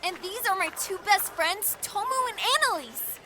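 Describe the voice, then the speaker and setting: Woman as a very high, bubbly young girl. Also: expressive, moderate pace, very noisy. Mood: eager, curious. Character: eager, friendly girl with fire magic. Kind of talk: audio drama acting.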